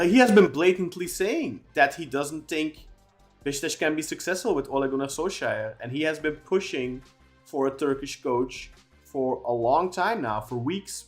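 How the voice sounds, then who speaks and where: Rambling man on a football podcast. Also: a little high. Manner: talks at length, many restarts and fillers. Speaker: podcast co-host. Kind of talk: casual football podcast chat.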